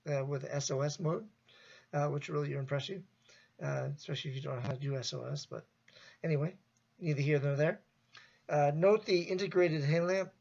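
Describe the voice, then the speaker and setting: A man with a slightly high voice and heavy vocal fry talks through an informal product review. His delivery is halting and improvised, and the recording sounds dull and lo-fi.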